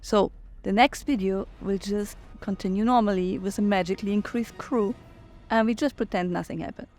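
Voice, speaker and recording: A woman's voice: medium pitch, moderate pace, a little mellow, faint music behind. A woman giving her opinions in commentary on a video game playthrough.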